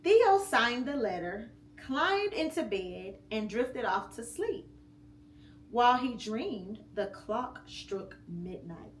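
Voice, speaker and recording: A mother reading a picture book aloud to her child at home, slow and expressive with pauses between phrases. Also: fairly high pitch. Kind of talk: storybook read-aloud. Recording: echoey room with faint hum.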